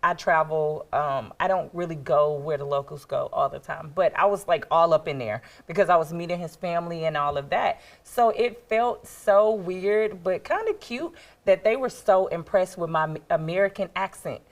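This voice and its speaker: A woman's voice: on the low side, moderate pace, few pauses. A woman from Texas with a Texan accent, a panel guest, chatting expressively and laughing easily in a casual studio chat.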